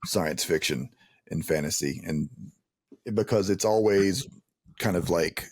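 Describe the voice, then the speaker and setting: A man interviewing in a casual chat, speaking in a halting, rambling, informal way. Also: sits low, dry recording. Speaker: podcast interviewer.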